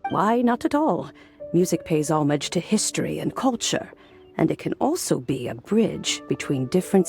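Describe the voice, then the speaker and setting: A gracious elderly lady in a video game. Her voice sits low but swings widely in pitch, and she speaks in a genteel, unhurried, teasing way. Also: a little mellow. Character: wise, gracious elderly grandmotherly woman.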